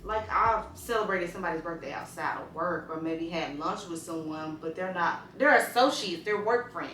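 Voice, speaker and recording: Bright-voiced woman in her thirties. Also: lowish voice, moderate pace. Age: 30s. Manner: animated, laughing, slangy. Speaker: host. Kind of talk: casual chat. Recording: roomy sound from a distance with music.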